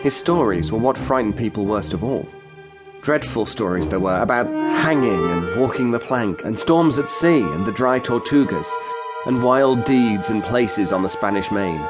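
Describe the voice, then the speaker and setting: An earnest, literate male narrator played by an actor looks back on his boyhood in an audio drama. His voice sits fairly low, with music clearly underneath. The delivery is measured and dramatic. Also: lively intonation, natural voice. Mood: grave, vivid. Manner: measured, storytelling. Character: grown man recalling his haunted boyhood, literate, earnest.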